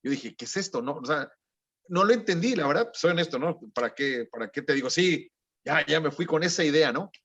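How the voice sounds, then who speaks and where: Man, raised pitch, candid interview guest; muffled lo-fi sound. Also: lively intonation, a little fast, a bit bright. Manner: frank, conversational, tags with "¿no?". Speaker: guest, former missionary. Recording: dull lo-fi audio.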